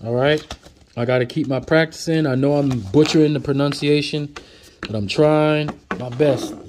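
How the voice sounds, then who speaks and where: A man's voice: mid-range voice, a little mellow, a little hiss or hum. A man, chatting through flashcards as he teaches words, repeating each one and joking with viewers. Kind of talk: casual flashcard lesson. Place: indoors at a table, off camera.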